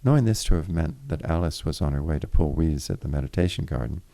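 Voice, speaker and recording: A man reading literary fiction aloud in a formal, deliberate way, with the occasional stumble. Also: rumbling low, expressive, vocal fry, dark tone. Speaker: reader of a story chapter. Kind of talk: literary audiobook narration.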